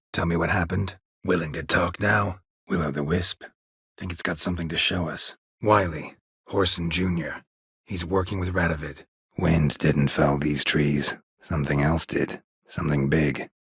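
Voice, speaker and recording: A gruff, world-weary monster hunter from a fantasy video game, with a deep and extremely husky, gravelly voice. He speaks in clipped, deadpan phrases. The recording is dry and close. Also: no echo, heavily acted. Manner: terse, deadpan, clipped sentences.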